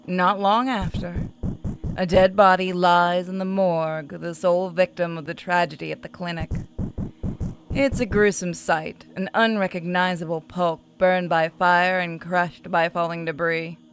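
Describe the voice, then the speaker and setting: A woman's voice: lowish voice, fairly even intonation, measured pace, soft background music, poor audio. A woman reading a visual novel game aloud as narrator, slipping into casual banter.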